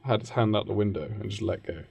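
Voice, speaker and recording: Low, creaky-voiced English man. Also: fast talker, darkish tone, slight background noise. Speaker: podcast co-host. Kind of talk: casual chat, improvised storytelling.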